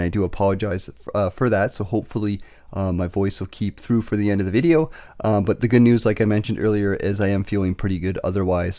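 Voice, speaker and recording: A young man talks quickly through a casual vlog update, his voice raspy from a recent illness. The tone sits low and dark, with lots of fillers between his points. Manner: quick, filler-heavy talk. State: recovering from illness, slight cough. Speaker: investing YouTuber. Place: bedroom, indoors. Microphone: desk microphone on boom arm.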